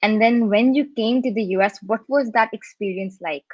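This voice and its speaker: A woman's voice: fairly high pitch, moderate pace, no room sound. Young woman moderating over a dry call. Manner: friendly, scripted introductions, uses "um" and "like". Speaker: host, panel moderator. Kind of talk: moderating a panel interview. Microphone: video call. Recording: dry muffled video call audio.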